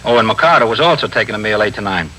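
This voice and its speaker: An actor playing a deferential desk sergeant in a 1950s radio police drama, clipped and matter-of-fact. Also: male voice, fairly low pitch, lively intonation, very fast, sharp tone. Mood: dutiful, brisk. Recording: faintly noisy 1950s radio broadcast.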